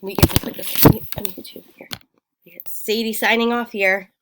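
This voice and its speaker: A woman's voice: medium pitch, fairly even intonation, normal speed, heavy background noise. A woman talking casually at home as a mother, coaching a young child through reading with encouraging, short prompting questions.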